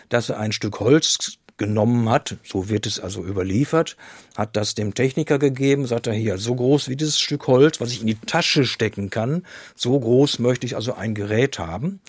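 A man's voice: mid-range voice, no echo, studio quality. A man giving spoken explanations as an interviewed expert.

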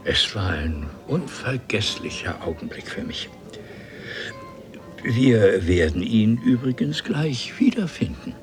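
Haughty, deep-voiced ancient time traveller. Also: male voice, sing-song, over music. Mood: lofty, explaining. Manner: theatrical, ornate, deliberate storytelling. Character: haughty ancient time-travelling nobleman, eloquent, condescending storyteller. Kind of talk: 1970s German radio play acting.

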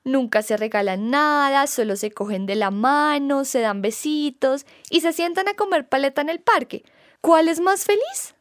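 A woman's voice: sits high, moderate pace, lightly acted. A woman playing an opinionated girlfriend in a scripted radio sketch, with a Colombian accent. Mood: challenging.

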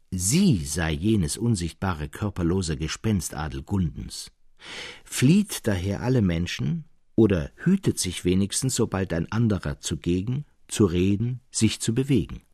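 Slow, deep, dramatic male storyteller. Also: darkish tone, breathy edge, dry recording, studio quality. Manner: solemn, suspenseful, theatrical. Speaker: narrator. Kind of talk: dramatic literary narration of a gothic tale.

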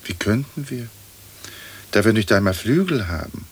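An actor playing a dead aristocratic lord in a radio play, speaking formally in measured, old-fashioned, dry phrases. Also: male voice, lowish voice, animated, breathy edge. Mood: composed. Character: aristocratic dead English lord, dignified, dry, long-winded.

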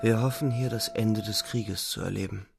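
A man playing an exhausted, brooding German soldier speaking his inner thoughts in a radio play, with a creaky voice. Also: on the low side, steady tone, normal speed, faint music behind. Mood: wistful. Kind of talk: introspective radio play monologue.

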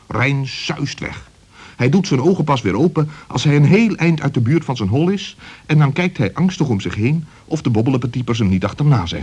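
Husky guy telling a kids story, mid pitch, vivid and dramatic narration. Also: a little mellow.

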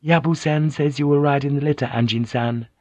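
A man voicing a composed, courteous Japanese noblewoman who translates for others, soft-spoken and polite. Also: a little high, fairly even intonation, brisk, no echo, voice pushed up, close to an everyday voice. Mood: gentle. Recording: dull, dry lo-fi recording.

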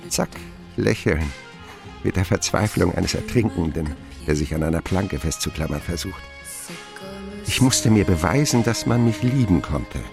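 Wistful grown man, very low voice, animated storytelling, radio drama narration of a lonely boyhood. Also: moderate pace, vocal fry, over music. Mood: wry.

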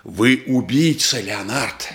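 An actor playing an astute, composed doctor-detective in a Russian radio play, speaking in a controlled, deliberate, accusing way. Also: male voice, medium pitch, lively intonation, noisy background. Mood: accusing, explanatory. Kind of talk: measured theatrical Russian radio drama acting.

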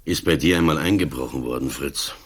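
An older actor with a Swiss German accent playing a calm, stubborn, ailing police commissioner in a radio play, speaking cold and firm. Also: male voice, a bass voice, brisk, breathy edge, heavily acted. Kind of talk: 1980s radio play acting.

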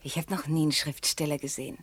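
A woman's voice: sits low, level tone, fast talker, husky, no room sound. An actress playing a naive, candid country girl of about nineteen in a German radio play, blunt and slightly defensive. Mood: artless, confiding.

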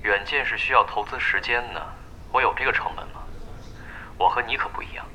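A man's voice: mid-range voice, fairly even intonation, brisk, noisy background, natural voice. A man in an audio drama playing a guarded veteran pro gamer, courteous and wry. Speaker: voice actor in a radio drama. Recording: music behind the voice.

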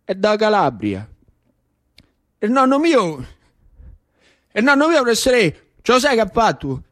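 A man playing an eager, indignant schoolboy in a radio monologue, with a Roman accent, halting and repeating insistent questions. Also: sits high, wildly swooping pitch, fairly bright tone, full character voice. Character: eager, indignant schoolboy proud of migrant grandfather.

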